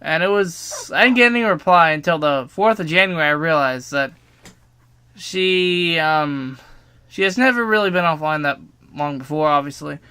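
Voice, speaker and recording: A man's voice: on the high side, moderate pace, pushed and bright, clear, resonant tone. A young adult man telling a personal story casually, partly from a script, with informal, self-deprecating asides and some swearing. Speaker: storytime video narrator.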